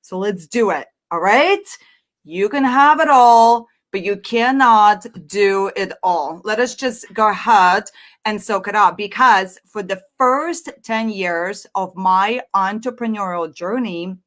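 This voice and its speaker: A woman in her thirties from Russia, with a Russian accent, giving an energetic and chatty live online business presentation. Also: mid-range voice, slow. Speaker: business speaker.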